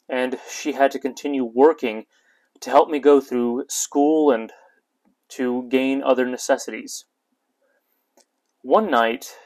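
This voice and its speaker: A man in his twenties, born in Ukraine, hosting his own podcast and telling his life story in a measured, earnest monologue with light hesitations. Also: medium pitch. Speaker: podcast host. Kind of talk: personal testimony podcast monologue.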